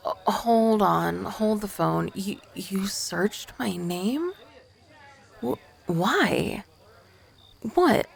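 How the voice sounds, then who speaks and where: A woman's voice: medium pitch, vocal fry, darkish tone, husky, full character voice. A woman acting as an awkward, nervous young female ghost in an ASMR roleplay, stammering, flustered and defensive. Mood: flustered, apologetic.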